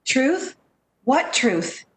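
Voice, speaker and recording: A woman's voice: high voice, sing-song, drawn-out words, heavily acted. A woman playing a worried, practical, protective friend in a 1940s-style radio drama, with an urgent, firm, theatrical way of speaking. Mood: shocked.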